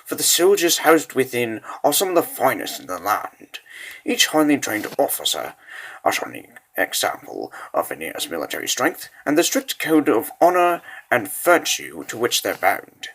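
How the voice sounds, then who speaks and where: A man's voice: mid-range voice, wildly swooping pitch, normal speed, gravelly, a bit bright, low-quality recording. A man reading dramatic scripted narration for a comic dub.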